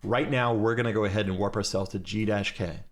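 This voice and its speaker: Low-voiced man coaching a game fleet. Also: fairly even intonation, fairly quick. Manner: directive, step-by-step coaching. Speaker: fleet instructor teaching a game class. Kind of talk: live instruction over voice chat during a game.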